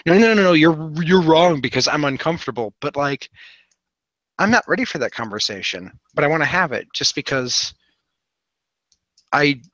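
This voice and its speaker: A man in earnest, conversational discussion, speaking haltingly and reflectively and repeating words for emphasis. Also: fairly high pitch, lively intonation, fairly bright tone, no room sound, dull audio.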